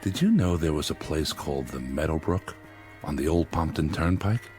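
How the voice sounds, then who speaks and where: An actor playing a weary, stubborn leader of hungry survivors, firm and commanding, in a dramatic radio play. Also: male voice, a bass voice, moderate pace, a little mellow. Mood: wistful. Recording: dramatic music behind the voice.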